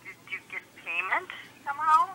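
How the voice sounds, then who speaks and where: A woman's voice: high voice, big pitch swings, normal speed, noisy background. An American woman giving short, guarded, questioning replies over a phone speaker picked up by a camera. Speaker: prank call recipient. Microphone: phone speaker, re-recorded in a room.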